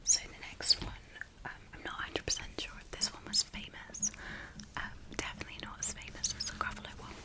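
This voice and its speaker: Whispering woman reading a storybook. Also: fairly quick. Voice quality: whispered, breathy. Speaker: storyteller reading picture books.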